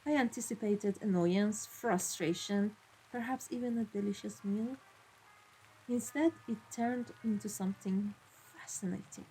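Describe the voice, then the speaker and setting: Female vampire mafia boss, played by a woman: elegant, teasing, ruthless, theatrical. Mid pitch, roleplay audio drama, music bed. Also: dark tone, lightly acted, low-quality recording. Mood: amused, intrigued. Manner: theatrical, deliberate, condescending, teasing endearments. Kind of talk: scripted ASMR roleplay drama. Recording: voice over background music.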